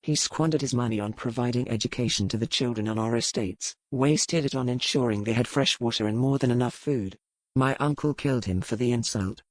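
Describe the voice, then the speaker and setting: An actor playing a proud, sardonic gentleman husband in a historical romance audiobook, with formal, measured period diction. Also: mid-range voice, no room sound, natural voice, rough recording. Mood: sardonic, bitter. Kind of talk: dramatic audiobook character acting.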